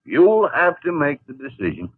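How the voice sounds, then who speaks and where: An actor playing a gruff, blustering older senior doctor in a 1950s radio drama. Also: male voice, on the high side, wildly swooping pitch, moderate pace, no echo, exaggerated voice. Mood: explaining. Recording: tinny lo-fi 1950 radio broadcast.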